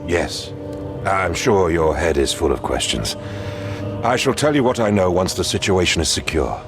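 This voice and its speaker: An older actor playing a wounded, stern zealot commander, speaking with solemn, grand formality in a game cutscene. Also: male voice, sits low, lively intonation, moderate pace, music in the background. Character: old, wounded male zealot leader, stern and unyielding. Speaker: zealot commander of the vault defenders. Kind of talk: video game cutscene voice acting.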